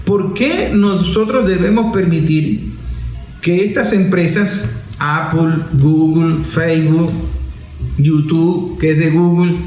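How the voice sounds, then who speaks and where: A man's voice: a little high, slow pace, darkish tone. A man with a Venezuelan accent giving emphatic opinion commentary as a presenter, using rhetorical questions and lists. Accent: Venezuelan Spanish. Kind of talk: opinion commentary on media and politics. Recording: roomy, echoing sound.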